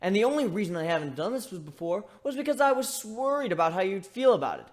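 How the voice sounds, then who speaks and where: A man acts out an insecure male griffin from a dramatic fantasy story reading. The voice is high and swings widely. He is sarcastic, easily exasperated and openly emotional. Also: big pitch swings, quick pace. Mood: sarcastic exasperation.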